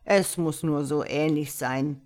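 A woman voicing a wise, patient tomcat in a storybook, speaking in a measured, gently instructive and slightly pompous way. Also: sits low, creaky, pitched below the performer's own voice, full character voice. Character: wise, patient male tomcat, calm mysterious mentor. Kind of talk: storybook character voice.

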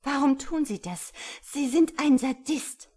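A woman's voice: sits high, animated, a little slow, mellow, voice pushed up. A woman voicing a defiant, indignant woman protesting emphatically and with agitation in a romance audiobook. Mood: defiant, frightened. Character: defiant, indignant young woman resisting a captor. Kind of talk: romance audiobook character dialogue.

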